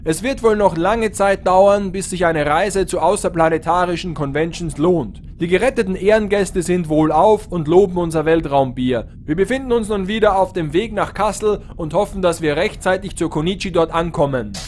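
Guy playing space captain, high voice, bossy mock-heroic, comedy audio drama. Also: moderate pace, hardly any pauses, slight background noise, natural voice. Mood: mock-solemn. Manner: mock-grand, commanding. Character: bold, mock-heroic starship captain of a tour bus crew. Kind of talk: comic sci-fi audio drama acting.

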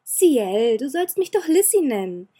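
A woman voicing a cheerful, eager young noble girl who talks in a bright, pleading sing-song. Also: sits high, expressive, normal speed, voice pushed up.

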